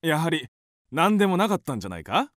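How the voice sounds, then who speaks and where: A man's voice: fairly high pitch, sing-song, fast, fairly bright tone, no room sound, studio quality. An actor playing an easygoing husband and father in a radio drama, with a light-hearted manner. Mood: reassuring. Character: easygoing, joking family man, husband and father.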